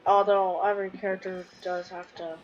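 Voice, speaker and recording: A woman's voice: medium pitch, flat delivery, moderate pace, lo-fi sound, faint music behind. A young woman giving casual, rambling, giggly commentary while playing a video game. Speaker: gamer streaming a playthrough.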